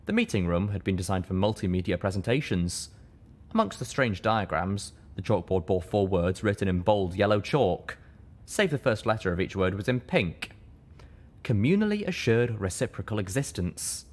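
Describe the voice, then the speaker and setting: A man reading a first-person story as an audiobook narrator, with expressive, dramatic delivery. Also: sits low, normal speed.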